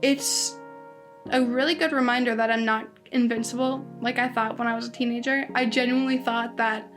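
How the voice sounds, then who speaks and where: A woman's voice: a little high, fairly even intonation, fairly quick, faint music behind. A woman in her twenties hosting a podcast alone, talking casually and rambling with lots of "like"s and restarts. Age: 20s. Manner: chatty, rambling, many "like"s and restarts. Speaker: podcast host. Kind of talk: casual solo podcast monologue. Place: indoors at home.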